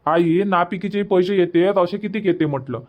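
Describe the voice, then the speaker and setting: Man voicing a high-pitched fretful young villager. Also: normal speed, muffled recording, lightly acted. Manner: fretful, questioning, emphatic. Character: worried young village son, fretting over costs. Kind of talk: Marathi cartoon comedy dubbing.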